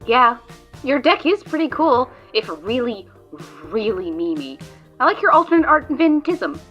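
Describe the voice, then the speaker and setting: A woman acts out a sharp-tongued, mischievous heroine in an audio drama. Her voice is high and bright, swooping theatrically as she taunts with cocky relish. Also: big pitch swings, unhurried, faint music behind, exaggerated voice. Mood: casual. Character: cocky, mischievous female card-playing adventurer, sharp-tongued.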